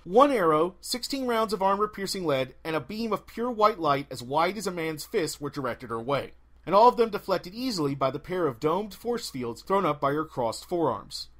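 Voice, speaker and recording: Guy reading an audiobook, wry and theatrical, voice a bit high and all over the place in pitch. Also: animated, normal speed, fairly bright tone. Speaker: audiobook narrator. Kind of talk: animated scripted fiction narration.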